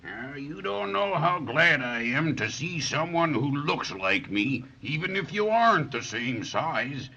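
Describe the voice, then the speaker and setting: An actor playing a kindly, gentle giant with weak eyes in an old radio drama, folksy and slow. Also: male voice, fairly high pitch, very animated, vocal fry, breathy edge, full character voice. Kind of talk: 1930s radio drama acting. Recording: dull old radio recording.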